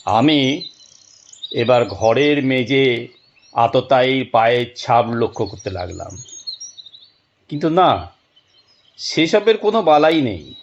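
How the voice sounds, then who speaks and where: A man narrating a detective audio drama in an expressive, dramatic storytelling manner with deliberate pauses. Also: medium pitch, lo-fi sound, a little hiss or hum.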